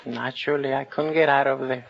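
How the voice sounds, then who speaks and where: A man's voice: medium pitch, steady tone, fairly quick, vocal fry. A young man from Mexico giving brief, casual answers as a radio quiz contestant. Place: radio studio with audience. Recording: dull, noisy vintage radio, audience behind.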